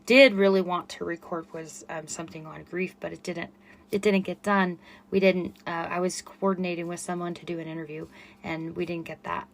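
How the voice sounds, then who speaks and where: A middle-aged woman with a quiet voice hosting a solo podcast, chatty and self-effacing with frequent fillers. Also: fairly low pitch. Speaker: podcast host and running coach. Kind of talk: casual solo podcast monologue.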